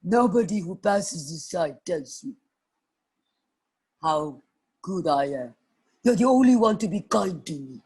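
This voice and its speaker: Woman doing a lonely bear, gruff, soft-hearted, creaky, husky, children's tale. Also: lowish voice, lively intonation, a little slow, long pauses, heavily acted. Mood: touched, grateful.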